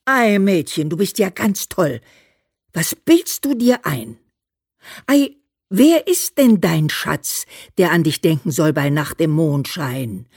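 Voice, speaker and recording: Slow-spoken, scolding old mother, very animated. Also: low-pitched, husky, dry recording, studio quality, heavily acted. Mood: mocking, scolding. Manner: admonishing, colloquial, emphatic. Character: scolding, teasing, practical old mother of a famous son. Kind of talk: dramatized letter reading in a radio play.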